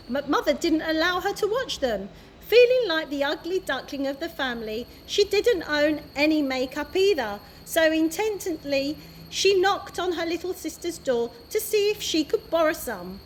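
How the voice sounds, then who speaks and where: A woman, an early years practitioner, reading a children's chapter book aloud in a steady, expressive way. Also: sits high, a little hiss or hum.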